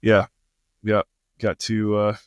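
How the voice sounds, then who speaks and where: Male podcast host, laid-back, lowish voice, dry close sound, lots of fillers. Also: completely flat delivery, slow pace, clear, resonant tone, no room sound, muffled recording. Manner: laid-back, filler-heavy, laughs easily. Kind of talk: casual interview talk. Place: Toronto.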